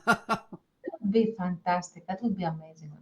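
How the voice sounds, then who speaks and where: A woman's voice: lowish voice, moderate pace, a little mellow. A woman co-hosting a podcast in a casual, chatty way. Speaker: podcast co-host. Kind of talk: casual chat. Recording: muffled, echoey, far from the mic.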